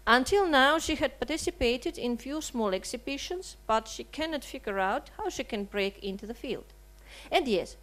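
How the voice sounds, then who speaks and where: A woman's voice: high voice, poor audio. Woman reading a statement. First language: Russian. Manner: measured, earnest, with rhetorical questions. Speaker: writer and translator. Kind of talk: reading a prepared seminar statement. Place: seminar hall.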